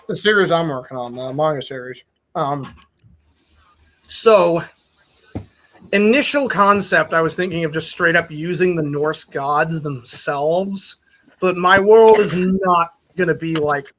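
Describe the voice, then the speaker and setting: A man's voice: fairly high pitch, slow, pauses often, a bit bright, slight background noise, low-quality recording. A man casually talking with friends as host, explaining his thoughts in a hesitant, considered way. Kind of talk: casual group chat.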